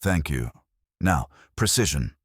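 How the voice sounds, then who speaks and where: A man voicing an imperious, precise private detective in a pompous, measured, formal way for a mystery story. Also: rumbling low, lively intonation, slightly rough, dry recording, clean studio sound, full character voice. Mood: curt, dry.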